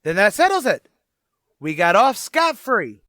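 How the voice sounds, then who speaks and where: A man's voice: high voice, very animated, a little slow, sharp tone, no room sound, studio quality, exaggerated voice. A man playing a cocky, slangy gangster bank robber in an old-time radio drama. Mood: smug. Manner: brash, slangy, theatrical.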